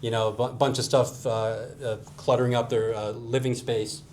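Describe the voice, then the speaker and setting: A man's voice: medium pitch, little pitch movement, normal speed, darkish tone, slight background noise. A man casually explaining a product as an audio dealer.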